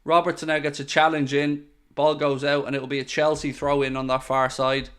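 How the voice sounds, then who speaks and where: Man, live match watchalong host, excitable commentary, jokey, smooth clear voice, slightly high. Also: fairly quick. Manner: excitable, jokey, addresses viewers directly.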